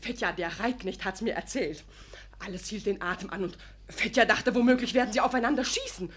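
An actress playing a cheeky, gossipy young maidservant in a radio comedy, eager and teasing. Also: female voice, mid-range voice, a little fast, fairly bright tone, husky. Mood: gleeful, excited. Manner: breathlessly eager, giggly, teasing. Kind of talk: animated radio comedy storytelling.

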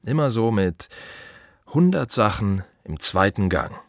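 An actor playing an earnest, proud and reflective gravedigger in a radio play monologue, speaking in measured, short clipped phrases with pauses. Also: male voice, on the low side, very animated, dry recording, clean studio sound. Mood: reflective, intense.

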